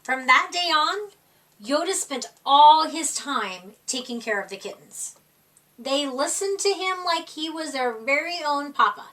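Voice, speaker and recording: A woman with a high-pitched voice reads a children's story slowly and dramatically. She stretches key words for young listeners in an animated storytime delivery. The voice sits a little way from the mic. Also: recorded from a distance. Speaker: storyteller reading a children's picture book. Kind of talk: expressive children's storytime read-aloud.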